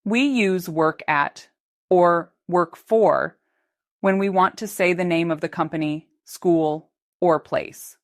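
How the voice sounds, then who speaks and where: A woman teaching English in a slow, unhurried voice, with each word spelled out clearly for learners. She sits on the lower side of pitch for a woman and sounds calm and steady. The voice-over is dry and close, with almost no room sound. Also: dry recording. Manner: slow, careful, clearly articulated teaching delivery. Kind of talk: scripted English lesson narration.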